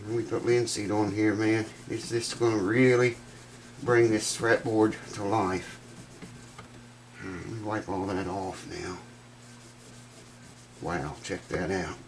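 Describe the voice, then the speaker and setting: Male workshop vlogger, low-ish voice, folksy and enthusiastic, nasal from congestion, faint room noise. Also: rough recording. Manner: folksy, enthusiastic, says "man" a lot. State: congested, stuffed-up nose. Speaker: guitar repair channel host. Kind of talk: casual workshop vlog.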